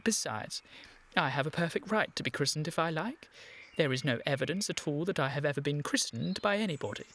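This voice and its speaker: Higher-voiced indignant English gentleman. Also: expressive, brisk. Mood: exasperated insistence. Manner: fussy, reproachful, proper. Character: indignant, earnest, exasperated young English gentleman. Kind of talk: comic period radio play acting. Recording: clear background ambient noise.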